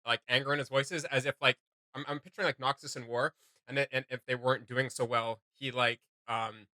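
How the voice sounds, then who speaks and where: A man's voice: mid-range voice, steady tone, fairly quick, sharp tone, dry recording. A man hosting a reaction video, chatting casually and thinking aloud in a hesitant way.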